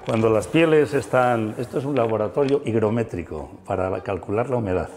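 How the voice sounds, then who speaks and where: A man's voice: on the low side, a little fast, darkish tone, big-room sound, a little hiss or hum. A middle-aged man guiding an interview through a museum, enthusiastic and full of fillers and tag questions. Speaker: musician and museum guide. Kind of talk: explanatory interview chat. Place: museum room.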